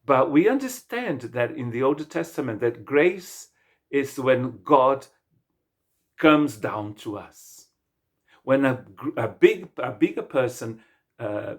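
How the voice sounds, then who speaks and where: A middle-aged man from Brazil with a Brazilian Portuguese accent, a native Portuguese speaker, preaching a sermon as pastor in an earnest, halting way with many restarts. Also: mid-range voice, lively intonation. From Brazil, state of Rio de Janeiro.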